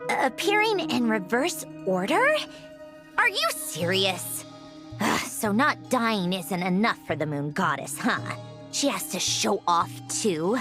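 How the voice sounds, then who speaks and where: A woman's voice: medium pitch, sing-song, takes it slowly. A woman voicing a proud, impatient young woman researcher in a game, snippy and dismissive. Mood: exasperated. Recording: music behind the voice.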